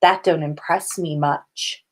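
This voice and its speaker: A woman's voice: sits low, fairly even intonation, slow, pitched below the performer's own voice. A woman voicing a teenage boy wizard with a sassy, teasing delivery, reciting a mocking song in a story reading.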